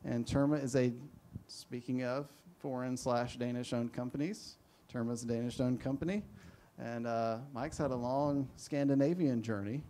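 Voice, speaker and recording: A man's voice: medium pitch, normal speed, hardly any pauses, warm tone, slight background noise. A man moderating a panel, introducing the speakers in a rambling, self-deprecating way. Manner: rambling, self-deprecating, many fillers and restarts.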